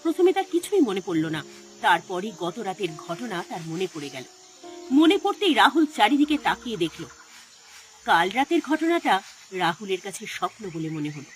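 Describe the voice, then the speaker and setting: A woman's voice: mid-range voice, big pitch swings, moderate pace, slight background noise. A woman narrating a scripted horror story in a dramatic storytelling style. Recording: music behind the voice.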